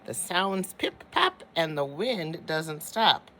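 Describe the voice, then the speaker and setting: A woman's voice: low voice, big pitch swings, takes it slowly, sharp tone, a little hiss or hum. A woman teacher reading a children's picture book aloud, expressively, drawing out phrases and speaking directly to young listeners.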